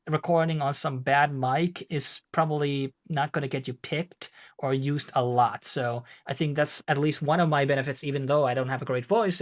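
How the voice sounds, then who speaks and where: Man, Finnish accent, non-native English, rambling casual explainer, lots of fillers, slightly high voice. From Finland. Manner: rambling, hesitant, frequent fillers and restarts. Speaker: tech video host. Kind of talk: casual tutorial talk.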